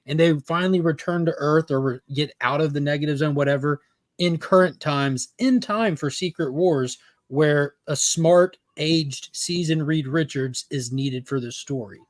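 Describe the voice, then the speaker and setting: A man's voice: fairly high pitch, moderate pace, dry recording. A man on a casual pop culture panel, laying out his opinions in long explanations.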